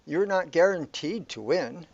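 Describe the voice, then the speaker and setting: All-knowing male guide played by an actor, calm and cryptic, lightly high voice, slightly muffled, sci-fi audio drama. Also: vocal fry, no room sound, exaggerated voice. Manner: measured, matter-of-fact, questioning. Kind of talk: sci-fi radio drama dialogue. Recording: dry, dull lo-fi audio.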